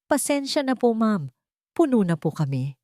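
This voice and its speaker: A woman's voice: fairly high pitch, big pitch swings, moderate pace, mellow, dry recording, clean studio sound, pitched above the performer's own voice. A woman voicing a polite but dismissive employment agency clerk in a dramatic audio story. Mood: apologetic, dismissive. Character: curt, polite employment agency clerks turning someone away.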